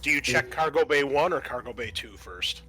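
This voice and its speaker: Bright-voiced man running a tabletop game. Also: mid-range voice, animated, slightly rough. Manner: halting, conversational. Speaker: game master. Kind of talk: improvised tabletop game narration. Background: background music.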